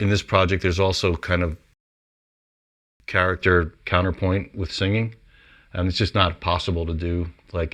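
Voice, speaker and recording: A man's voice: sits low, a bit bright. A man who works as a composer casually explains his songwriting, thinking aloud in an informal way. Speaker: composer and musician.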